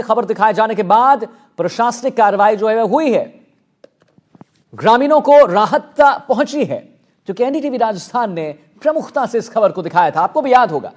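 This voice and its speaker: A man anchoring live TV news in an emphatic, repetitive way. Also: very high voice.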